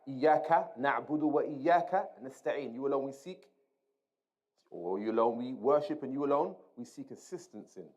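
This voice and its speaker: A man's voice: mid-range voice, lively intonation, fast talker, darkish tone. Man teaching an Islamic lesson. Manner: didactic, repeats phrases and checks with listeners. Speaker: religious teacher. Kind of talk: Islamic lesson, explaining and translating Arabic quotes to students.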